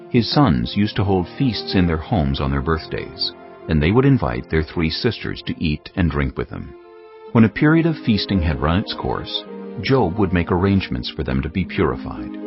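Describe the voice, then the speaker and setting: A man narrating scripture in a grave, measured way. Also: deep voice, big pitch swings. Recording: dull lo-fi recording. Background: cinematic music.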